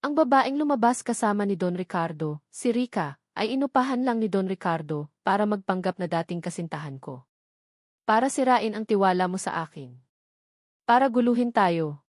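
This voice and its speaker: A woman's voice: mid-range voice, expressive, normal speed, a little mellow, no room sound, clean studio sound, close to an everyday voice. A woman voicing a serious, wronged man who confesses his secret in an audio love drama. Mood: earnest, pained.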